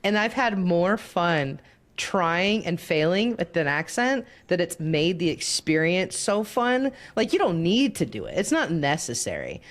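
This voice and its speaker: A woman's voice: on the low side, sing-song, measured pace. A woman co-hosting a casual tabletop gaming discussion, shy and self-deprecating, often breaking into giggles. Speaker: podcast co-host and player.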